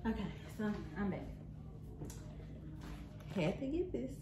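A young woman, casually chatting as she cooks and narrating a voiceover in a relaxed, rambling way that often laughs at herself. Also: a little high, slow, very noisy. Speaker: vlogger. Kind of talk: casual cook-along chat and voiceover. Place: home kitchen, indoors. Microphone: camera on the counter. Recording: voiceover with clear background music.

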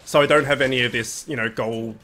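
A young man hosting a casual gaming video, sounding nasal from a cold, chatty and relaxed with lots of fillers. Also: mid-range voice, fairly quick. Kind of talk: casual gameplay commentary.

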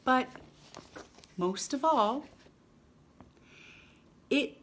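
A middle-aged woman hosting storytime, reading to children in an expressive, drawn-out way with playful emphasis. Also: sits high. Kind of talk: reading a picture book aloud to children. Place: indoors in a home room.

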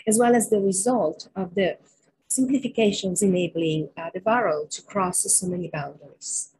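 A middle-aged woman delivers an academic keynote from an indoor room over a webcam. She speaks in a measured, thoughtful way, pausing often and filling gaps with small hesitations. Her voice sits at an ordinary middle pitch. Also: rough recording. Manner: measured, frequent fillers and pauses. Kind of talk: academic lecture over slides. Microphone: webcam on a video call.

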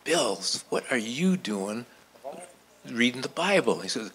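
An older man telling an anecdote to an audience in a casual, halting, conversational way. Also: mid-range voice, big pitch swings, fairly bright tone. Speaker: panel speaker. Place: hotel conference room with audience. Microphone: handheld microphone.

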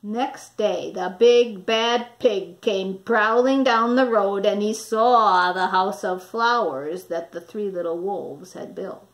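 A middle-aged woman narrating a children's storybook expressively, with dramatic, deliberate emphasis on words. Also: medium pitch, low-quality recording. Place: small indoor room with wall displays.

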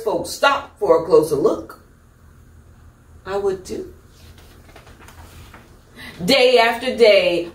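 A middle-aged woman hosting storytime, reading a children's picture book with deliberate, theatrical emphasis. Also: medium pitch. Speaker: storytime host. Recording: echoey room, distant microphone.

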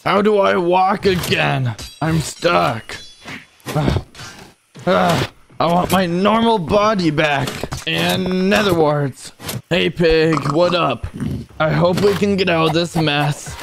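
A man voicing a goofy young stick-figure adventurer in an amateur cartoon dub, muttering and exclaiming in slang, with a creaky voice. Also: fairly high pitch, slow. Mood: flustered. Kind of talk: amateur comedic cartoon voice-over. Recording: noisy home recording.

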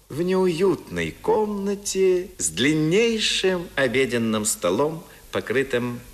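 A man's voice: mid-range voice, a bit bright. A man narrating a literary radio drama as storyteller.

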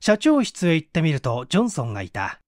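A man's voice: fairly high pitch, wildly swooping pitch, quick pace, no room sound, clean studio sound. A man narrating an audiobook in a dramatic storytelling style.

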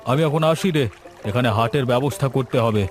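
A man voicing a stern older male village headman in a cartoon, commanding and unhurried. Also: medium pitch, faint music behind, voice pushed down, natural voice. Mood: generous. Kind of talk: cartoon voice acting.

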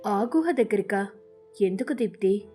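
A woman voicing a cautious, agreeable young male parrot in a mild, obliging way for an animated storybook. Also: medium pitch, moderate pace, soft background music, close to an everyday voice. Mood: cautious, puzzled.

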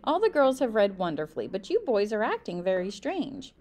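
A woman reading as a kind young female schoolteacher from a storybook, gentle, questioning and expressive. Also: mid-range voice, brisk. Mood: puzzled, coaxing.

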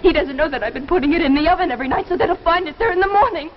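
An actress playing an anguished young daughter with a desperate secret, pleading breathily in 1950s television drama style. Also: female voice, very high-pitched, big pitch swings, fast. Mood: fearful, desperate. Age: young adult. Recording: muffled, noisy 1950s television soundtrack.

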